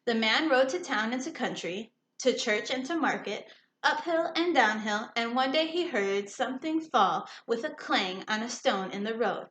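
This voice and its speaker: A woman teacher reading a story aloud to children, pausing to explain simply and check understanding with questions. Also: fairly high pitch, expressive, fairly bright tone. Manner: instructive, checks understanding often. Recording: echoey room with faint noise.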